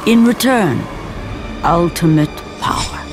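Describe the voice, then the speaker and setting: A grave, all-knowing teller of Greek myth for a video game. His pitch sits a little high and his phrasing is weighty and ominous. Music plays clearly underneath. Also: lively intonation, slow. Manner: grave, portentous. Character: solemn omniscient storyteller of Greek myth. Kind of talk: dramatic scripted game narration. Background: dramatic music.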